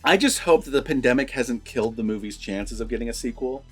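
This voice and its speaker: A man's voice: medium pitch, fast, slightly rough, soft background music. A man chatting informally and enthusiastically, unscripted, as host of a horror film review vlog.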